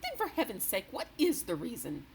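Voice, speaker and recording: A woman's voice: mid-range voice, wildly swooping pitch, brisk, creaky, a little mellow, slight background noise, voice pushed up. A woman voicing a genteel, commanding queen, exclaiming and distressed. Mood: alarmed. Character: distressed, imperious older female queen.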